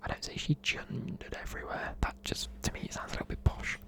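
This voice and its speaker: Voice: fast talker, pushed and bright. A person with a British accent whispering informal, chatty, matey explanations in an ASMR style. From United Kingdom. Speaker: presenter explaining slang.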